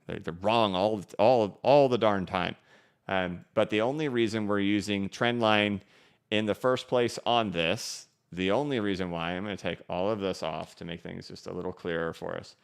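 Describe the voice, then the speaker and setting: A man's voice: on the low side, normal speed, vocal fry, clear, resonant tone. A man hosting a live stream, reading viewer messages and answering in a casual, rambling way with many restarts. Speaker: crypto livestream host. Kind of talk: live-stream chat reading super chats. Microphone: studio microphone.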